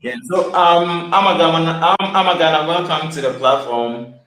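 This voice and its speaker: A man's voice: a little high, steady tone, moderate pace, fairly bright tone, echoey room, poor audio. A man hosting an online talk show, politely questioning and moderating callers. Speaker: host.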